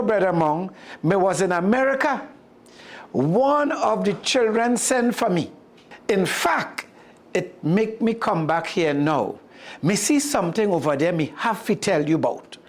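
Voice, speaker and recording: A storyteller acts out a male spider trickster from a folk tale, boastful and sly. He coaxes in lively Jamaican patois, pitched high and swooping. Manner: coaxing patois chatter.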